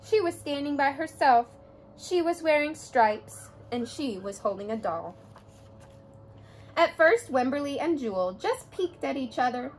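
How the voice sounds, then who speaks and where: A young adult woman reading a children's picture book aloud, with expressive storytelling. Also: high voice, measured pace, slight background noise.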